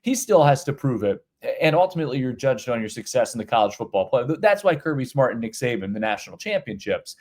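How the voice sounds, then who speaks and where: A man's voice: mid-range voice, big pitch swings, quick pace, hardly any pauses, darkish tone. A middle-aged man co-hosting a podcast, talking college football casually with many restarts and repeated words. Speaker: podcast co-host. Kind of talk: casual sports talk.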